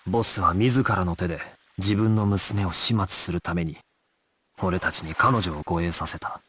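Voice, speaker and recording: An actor playing a resolute, stoic young gangster squad leader in a Japanese action video game, speaking with solemn, commanding authority. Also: male voice, low-pitched, normal speed, sharp tone, slightly rough, full character voice. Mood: grave, resolute. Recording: music behind the voice.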